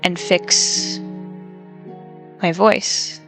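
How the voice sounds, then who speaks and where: A young woman speaks in a low, creaky voice with a flat, even delivery. She sounds reflective and measured, pausing often as she vents in a personal monologue. Faint music plays behind her. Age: young adult. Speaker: college student.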